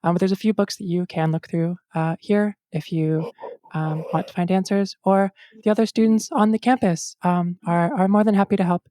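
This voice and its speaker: A woman's voice: on the low side, fairly even intonation, normal speed, warm tone, no echo, clean studio sound, close to an everyday voice. A woman game master playing an awkward, earnest exam supervisor at a fantasy academy, stammering and timidly cheery. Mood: awkwardly upbeat.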